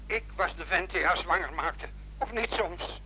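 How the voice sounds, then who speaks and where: High, bright-voiced, troubled policeman. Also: normal speed, slightly rough, full character voice, low-quality recording. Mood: anguished, confiding. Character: reluctant policeman posing as a desperate suicidal caller. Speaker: police officer. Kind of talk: Dutch radio play acting.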